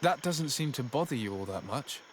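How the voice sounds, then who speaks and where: A man's voice: mid-range voice, expressive, slight background noise, natural voice. An actor as a formal, earnest young male envoy. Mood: probing. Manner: formal, measured, questioning. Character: polite, earnest male envoy investigating in a fantasy land. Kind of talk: video game character acting.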